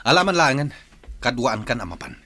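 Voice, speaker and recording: A man's voice: medium pitch, very animated, brisk, pushed and bright. A man playing a jealous, controlling husband in a radio drama, scornful and accusing, with an Ilocano accent.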